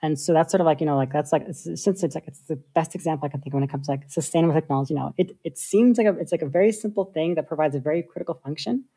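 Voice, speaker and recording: A woman, a software engineer, talking through her ideas in a casual interview with lots of filler likes. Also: low voice, very fast, few pauses, breathy edge. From United States. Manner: thoughtful, many filler likes.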